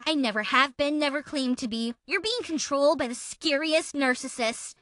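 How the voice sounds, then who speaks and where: A woman's voice: high-pitched, moderate pace, a bit bright, no room sound, clean studio sound, pitched above the performer's own voice. A woman voicing a self-righteous, aggrieved, menacing woman in an exaggerated, accusatory dramatic reading of text messages.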